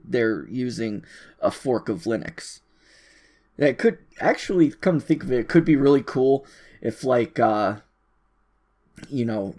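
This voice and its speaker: A man's voice: medium pitch, darkish tone. Rambling man thinking aloud. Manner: halting, informal, thinking aloud, repeats phrases. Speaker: host of an audio log. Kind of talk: casual rambling monologue.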